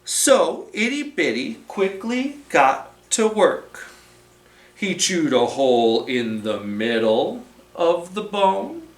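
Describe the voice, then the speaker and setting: A man reading a storybook aloud to children as a storytime host, expressive, with dramatic pauses and emphasis. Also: sits high. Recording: roomy, echoing room sound.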